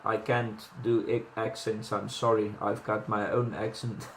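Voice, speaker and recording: A man's voice: fairly low pitch, little pitch movement, unhurried, a little hiss or hum. Chatty South African man in an echoey room. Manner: chatty, frequent ums and restarts, self-deprecating asides. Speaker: boxing commentator. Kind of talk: casual video commentary.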